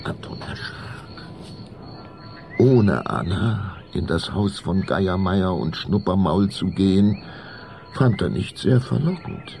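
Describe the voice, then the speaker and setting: A man narrating a scripted German children's radio play as its storyteller, with a gravelly voice. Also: deep voice, animated, takes it slowly, a little mellow, a little hiss or hum.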